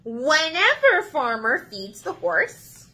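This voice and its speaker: A woman in her thirties leading a children's story time, reading aloud in an animated, sing-song way to young listeners. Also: sits high, slow pace, poor audio. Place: small indoor room.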